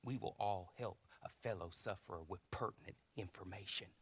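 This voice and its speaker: An actor playing a polite, smooth-talking, gloomy station clerk in a western video game, speaking in a wordy, mock-courteous way. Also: male voice, low-pitched, brisk. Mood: sly, polite.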